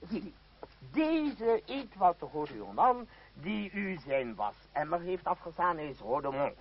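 Pompous, slow-talking theatre troupe leader. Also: male voice, sits high, very animated, heavily acted. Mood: showy, teasing. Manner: theatrical, grandiloquent, savouring words. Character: florid, pompous father leading a travelling theatre troupe. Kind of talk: radio play acting. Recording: muffled old radio play recording.

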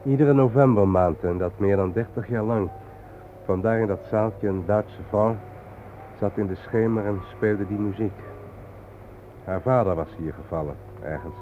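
An actor playing a solemn, reflective male guide to war memorials in a radio play, explaining things gravely. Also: lowish voice, normal speed, phone-quality sound, lightly acted. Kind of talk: naturalistic radio play acting. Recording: thin, noisy old radio recording.

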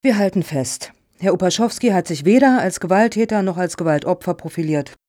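Actress as a mellow-voiced, proper panel chairwoman. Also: on the low side, lively intonation, a little fast, close to an everyday voice. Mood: formal, controlled. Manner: formal, orderly, admonishing. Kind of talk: satirical radio play dialogue.

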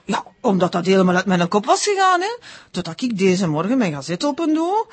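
Husky, chatty Flemish busybody. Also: female voice, medium pitch, big pitch swings, brisk. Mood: rambling. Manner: rambling, digressive, tag-question habit. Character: chatty, rambling local female witness, eager to help. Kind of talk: naturalistic TV crime drama acting.

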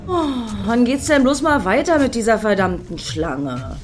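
A woman's voice: on the high side, big pitch swings, a little slow. An actress playing an impatient, self-assured, defiant woman in a satirical radio play, brusque and assertive.

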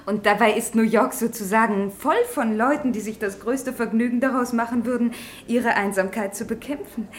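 An actress as a wry young secretary, teasing. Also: female voice, fairly high pitch, moderate pace, natural voice. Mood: teasing, soothing. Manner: teasing, dryly ironic. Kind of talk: German radio play acting. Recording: faint background noise.

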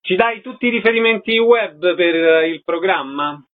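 A man's voice: high-pitched, normal speed, narrow, tinny audio. A man hosting a radio show, announcing events and putting questions to guests. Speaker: radio host. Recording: thin band-limited radio sound.

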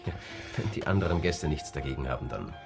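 A man's voice: extremely low pitch, expressive, fast, vocal fry, over music, heavily acted. A man playing a discreet, dryly amused hotel bartender in a radio play, obliging and understated. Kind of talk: German radio play acting.

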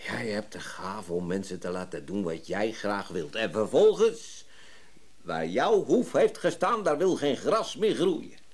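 A man's voice: fairly low pitch, big pitch swings, measured pace, breathy edge, exaggerated voice. An actor playing a grumpy, stubborn older tradesman in a Dutch radio drama, blunt and sardonic.

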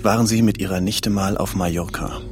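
An actor in a radio play, playing a formal middle-aged boss who confronts an employee in a measured, insistent manner. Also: male voice, low-pitched, fairly even intonation, over music, lightly acted. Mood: formal, pressing.

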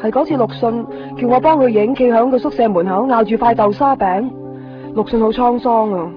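A woman's voice: on the high side, fairly quick, close to an everyday voice. An actress playing a wistful, observant young woman in a radio drama, with a Hong Kong Cantonese accent. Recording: muffled radio broadcast over music.

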